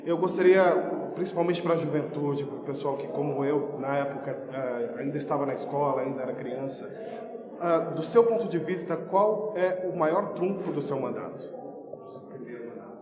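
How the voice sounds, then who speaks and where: A man's voice: fairly high pitch, normal speed. A Brazilian man in his thirties interviewing a guest, politely formal and somewhat hesitant. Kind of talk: interview questioning. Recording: echoey room with crowd murmur.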